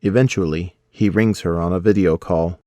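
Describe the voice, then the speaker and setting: A man narrating a scripted audio drama in a measured, solemn storytelling manner. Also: low-pitched, steady tone, dark tone, no echo.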